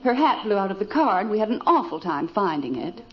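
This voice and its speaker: An actress playing a gentle but firm middle-aged mother in a vintage radio sitcom. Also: medium pitch, very animated, a little fast, natural voice. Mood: apologetic. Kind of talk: 1940s-50s radio sitcom acting. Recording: echoey, muffled, very noisy vintage radio recording.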